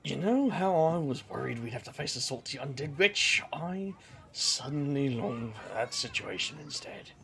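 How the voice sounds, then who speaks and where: A man acting a wry, awkward detective magician in an audio drama, with a gravelly voice and dry, halting delivery. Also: mid-range voice, expressive, fairly bright tone, a little hiss or hum. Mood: wry, rueful.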